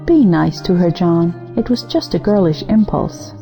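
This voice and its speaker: A woman's voice: fairly low pitch, lively intonation, unhurried, mellow, faint music behind. A woman playing an anxious, placating wife and hostess in an audio play. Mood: pleading.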